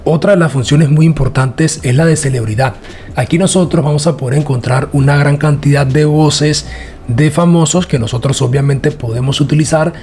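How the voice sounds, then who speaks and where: A man narrating a casual software tutorial in a chatty, repetitive way. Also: fairly high pitch, moderate pace, soft background music. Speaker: tutorial host.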